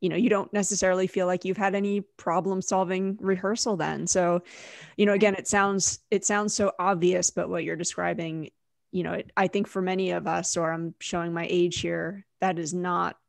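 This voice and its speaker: A woman in her forties with a slightly low voice co-hosts an online discussion. She talks in a measured, reflective way, recorded close and dry without room sound. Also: level tone, gravelly, no echo. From New Jersey, United States. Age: 40s. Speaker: co-host.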